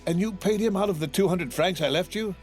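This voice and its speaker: A man's voice: high voice, brisk, a little mellow, faint music behind, voice pushed up, natural voice. A man voicing an earnest, devoted young sailor in a storybook, speaking with ardent courtesy. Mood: ardent, distressed.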